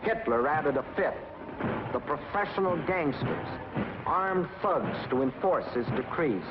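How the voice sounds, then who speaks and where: A man's voice: a little high, big pitch swings, a little slow, muffled recording, background noise. An American man delivering scripted 1940s propaganda film narration in a dramatic, emphatic manner with clipped phrases, on an old film soundtrack. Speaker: documentary narrator.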